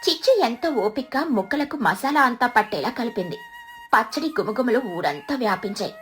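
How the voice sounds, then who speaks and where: A woman's voice: on the high side, sing-song, brisk, fairly bright tone, faint music behind. A woman narrator reading a scripted children's story.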